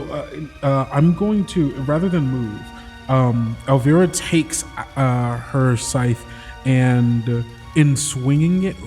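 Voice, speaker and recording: A man playing a tabletop game, describing his moves haltingly as he thinks them through aloud. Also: medium pitch, warm tone. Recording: clear music behind the voice. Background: music.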